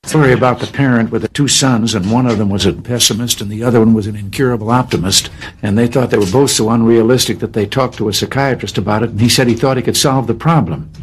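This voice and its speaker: A man's voice: medium pitch, fast talker, slight background noise, poor audio. A man telling a joke as a story, acting out the dialogue.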